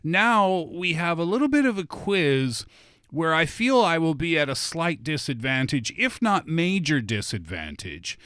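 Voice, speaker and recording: A man's voice: fairly high pitch, big pitch swings, measured pace, fairly bright tone, clear, resonant tone, no echo. A man hosting a talk show with casual studio banter.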